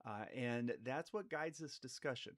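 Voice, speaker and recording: A middle-aged man, stuffed up from a cold, talks casually to his live-stream viewers at an average pitch. He wanders through his points with frequent ums and restarts. The sound is dry and close, with no room echo. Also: a little slow. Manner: relaxed and meandering, many fillers and restarts. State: congested from a cold. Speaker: family therapist hosting a live chat.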